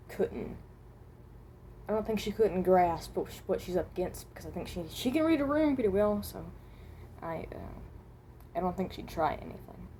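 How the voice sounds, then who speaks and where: A hesitant, mild-mannered traveler from another dimension, played by a woman, speaks in a dark, mellow and fairly low voice. The delivery is halting, with trailed-off phrases and rephrasing. It is an amateur recording with slight background noise. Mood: thoughtful, reassuring. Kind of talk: improvised in-character voice lines.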